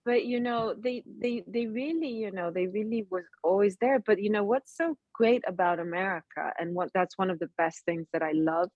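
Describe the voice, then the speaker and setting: A middle-aged woman who grew up in Italy, speaking English as a guest actress in a casual interview, talking in animated bursts and often restarting her sentences. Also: medium pitch, moderate pace, hardly any pauses, no echo, dull audio. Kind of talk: casual interview over a call.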